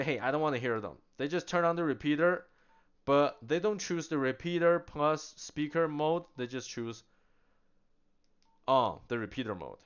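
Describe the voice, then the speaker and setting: A man casually demonstrating radio gear, chatty and animated, laughing now and then as he explains. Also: on the high side, clear, resonant tone, muffled recording. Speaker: product demonstrator. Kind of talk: casual product demo.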